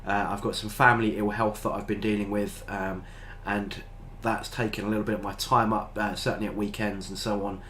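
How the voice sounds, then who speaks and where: A man's voice: lowish voice, little pitch movement, fairly quick, hardly any pauses, fairly bright tone, a little hiss or hum. British man with a stuffy head cold. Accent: British English. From Britain. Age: older. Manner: rambling, frequent ums and uhs. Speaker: tabletop gaming channel host. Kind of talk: casual review talk to camera.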